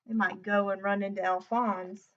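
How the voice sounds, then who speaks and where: A woman's voice: medium pitch, flat delivery, normal speed, a bit bright, lo-fi sound. A woman reading a children's picture book aloud expressively, breaking off for chatty asides to her listeners.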